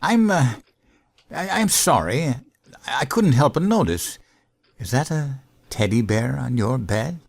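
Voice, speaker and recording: Male actor as earnest, bumbling American president for audio comedy; very lively pitch swings, hesitant stammer, childlike sincerity. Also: measured pace, vocal fry, full character voice. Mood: awkward, sincere. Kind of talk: comedic audio drama acting.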